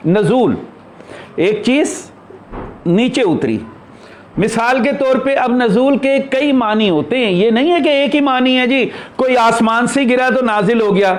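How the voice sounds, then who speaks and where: A man's voice: sits high, a little hiss or hum. A man giving an unscripted spiritual discourse as a teacher, explaining in short, broken phrases.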